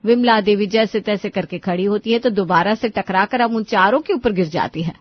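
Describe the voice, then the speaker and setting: A woman's voice: medium pitch, a little fast, no room sound, dull audio. A woman reading scripted narration for a cartoon moral story.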